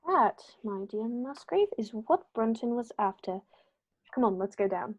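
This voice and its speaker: A woman playing a sharp, confident male detective in a radio play, crisp and brisk as he reasons through the clues. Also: a little high, lively intonation, highs cut off. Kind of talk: amateur radio play acting.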